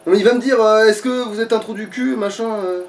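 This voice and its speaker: A man's voice: sits high, quick pace. A man playing a video game and commenting casually, with exasperated, foul-mouthed ranting. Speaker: let's player, playing the game. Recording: distant mic, slightly noisy.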